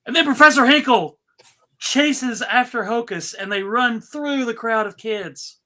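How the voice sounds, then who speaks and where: Unhurried woman narrating a script. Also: fairly high pitch, sharp tone. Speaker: narrator reading stage directions. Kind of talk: live comedic script read, scripted narration.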